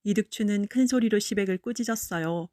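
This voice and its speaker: Woman reading kids folktales, storybook phrasing, medium pitch, really dry close sound. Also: a little fast, dark tone, no room sound. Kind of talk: children's folktale audiobook narration.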